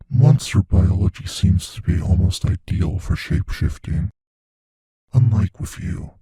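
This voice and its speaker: An actor voicing a playful, possessive, menacing shape-shifting monster in an intimate audio roleplay, musing slowly in a husky, breathy near-whisper. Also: male voice, deep voice, mellow, dry recording, heavily acted. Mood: content, wondering. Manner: slow, musing, savoring pauses.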